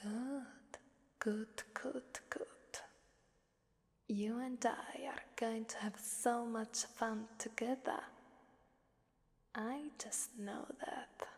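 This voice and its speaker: A woman's voice: fairly high pitch, steady tone, very slow, gravelly, pauses often, exaggerated voice. A woman voicing a seductive, taunting Krampus demoness in an ASMR roleplay, half-whispering with playful menace. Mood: pleased, scheming. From Italy. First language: Italian. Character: seductive, taunting female Krampus demon ruling a fighting arena. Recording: long cavernous reverb.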